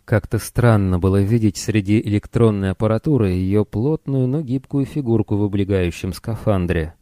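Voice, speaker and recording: A male audiobook narrator reads fiction in a low, mellow voice. The sound is dry, with the delivery of scripted storytelling. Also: moderate pace, no echo.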